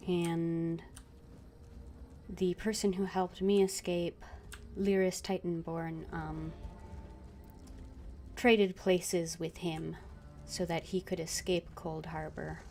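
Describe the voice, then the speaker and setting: A woman giving casual, unscripted commentary as she streams a video game, hesitant and thinking aloud with long pauses. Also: lowish voice, level tone, darkish tone, soft background music.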